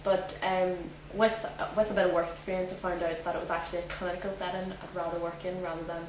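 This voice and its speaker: A woman's voice: lowish voice, level tone, fast, distant mic. A young woman from Northern Ireland with a Northern Irish accent, a student answering interview questions in a chatty way with many fillers. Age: young adult. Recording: echoey room, from afar, noisy.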